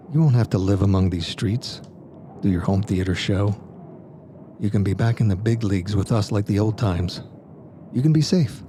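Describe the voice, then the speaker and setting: A man's voice: on the low side, mellow, slight background noise, natural voice. A man playing a smug, egotistical stage magician in an audio drama, taunting and persuasive in a theatrical way. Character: smug, egotistical, coaxing male magician, former stage star.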